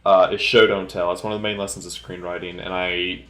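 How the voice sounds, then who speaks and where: A man giving an informal solo movie commentary in a halting, rambling, hesitant way. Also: sits low, flat delivery, a bit bright, roomy sound. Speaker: film commentator.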